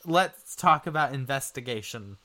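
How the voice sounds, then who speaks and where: A man interviewing a guest for a podcast in a casual, informal and somewhat halting way. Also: medium pitch, animated, bright, forceful tone. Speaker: interviewer, podcast series creator. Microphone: remote internet call interview.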